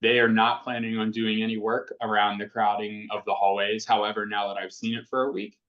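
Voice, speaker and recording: Brief: male principal, low voice, earnest and reassuring, dull video-call sound. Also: flat delivery, brisk. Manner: earnest, reassuring, filler-heavy. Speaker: school principal. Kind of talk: answering questions at a virtual town hall.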